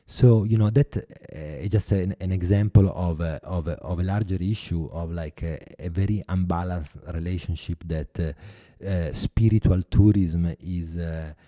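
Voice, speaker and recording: A man in his forties with an Italian accent, speaking as a podcast guest in a thoughtful way, pausing often and restarting phrases. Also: deep voice, warm tone, no echo, studio quality. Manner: thoughtful, many hesitations and restarts. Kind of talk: podcast interview.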